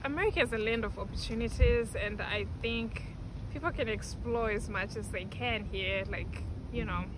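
A woman's voice: on the high side, moderate pace, background noise. A young woman from Zimbabwe, a college student, answering a street interview outdoors on campus in a hesitant, filler-heavy way. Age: young adult. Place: outdoors on a leafy campus path.

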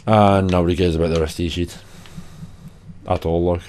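Tired, hoarse young gamer. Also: male voice, low voice, little pitch movement, a little fast, a little mellow, slight background noise. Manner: sweary, chatty, self-deprecating. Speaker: gaming channel host. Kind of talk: casual gameplay commentary.

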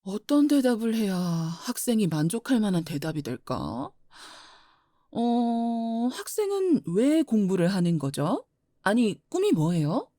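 A woman playing a flower-shop mother in her forties, gentle and teasing, often laughing. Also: medium pitch, big pitch swings, a little slow, no echo, clean studio sound. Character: warm mother who runs a flower shop. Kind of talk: audio drama acting.

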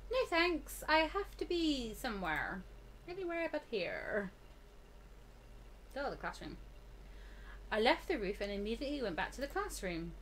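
Animated high-voiced woman gamer. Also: moderate pace, long pauses, a little hiss or hum, poor audio. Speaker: gaming video host. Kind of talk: visual novel playthrough, reading aloud with casual chat. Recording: game music behind the voice.

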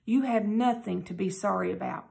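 A woman voicing a gentle, loving mother comforting her son in a storybook reading. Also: medium pitch, normal speed, creaky. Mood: soothing. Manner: soft, reassuring.

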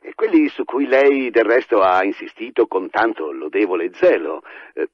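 A man's voice: lowish voice, expressive, moderate pace, breathy edge, no echo. A male actor playing an officious police chief on the telephone in an Italian radio drama, formal and commanding. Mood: formal, pointed. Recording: dry, muffled telephone line.

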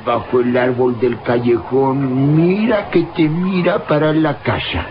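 An actor playing an excitable, folksy servant with a Mexican accent and colloquial, stammering speech in a radio drama. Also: male voice, medium pitch, a little slow, noisy background, lightly acted. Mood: excited. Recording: muffled vintage radio recording with music.

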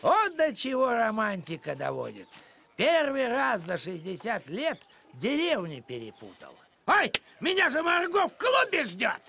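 A man's voice: very high voice, huge pitch swings, a little slow, gravelly, pushed and bright, a little hiss or hum, heavily acted. Fussy old cartoon postman, swooping voice. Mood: flustered. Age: older. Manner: officious, grumbling, theatrical. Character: fussy old village postman, male, grumbling and officious. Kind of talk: animated series voice acting. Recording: music behind the voice.

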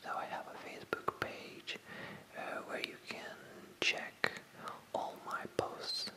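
An ASMR creator speaking to viewers in a pure breathy whisper, with a halting, hesitant delivery that often repeats phrases.

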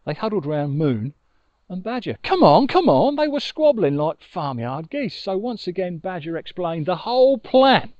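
A middle-aged man telling a children's story expressively, with dramatic pauses, recorded outdoors on a lapel mic. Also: sits high, very animated, no echo, dull audio. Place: outdoors on an open riverbank. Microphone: lapel mic with furry windshield.

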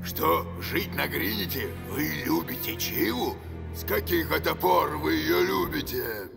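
A man voicing a scheming villainous gang boss in a cartoon, gravelly, menacing and commanding. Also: a little high, expressive, a little slow, music in the background, exaggerated voice. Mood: suspicious. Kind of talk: cartoon dub acting.

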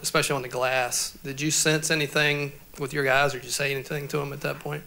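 A man's voice: medium pitch, level tone, fast, gravelly, sharp tone. A man working as a reporter, asking the coach long, rambling questions at a press conference.

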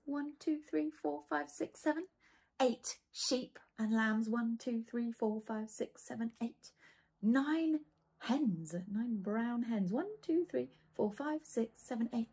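A woman's voice: a little high, takes it slowly, dark tone, breathy edge. A woman in her forties reading a children's storybook aloud, playfully engaging listeners with questions. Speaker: children's author reading her own book. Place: small indoor room lined with bookshelves.

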